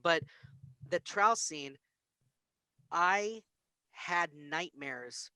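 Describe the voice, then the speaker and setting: An American man on a podcast, telling personal stories in casual film discussion with an animated, acted-out delivery. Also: a little high, no echo. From United States, grew up in Springfield and Texas. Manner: animated storyteller, acts out quoted lines. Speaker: podcast co-host.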